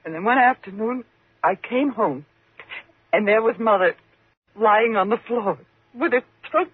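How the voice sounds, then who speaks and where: A woman's voice: fairly high pitch, animated, unhurried, dry recording, exaggerated voice. An actress playing a nervous, fearful woman in a 1950s radio thriller, with a faltering, agitated delivery. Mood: terrified. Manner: faltering, agitated, breathy gasps. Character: nervous, fearful woman trapped with a menacing man. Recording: muffled, tinny 1950s radio recording.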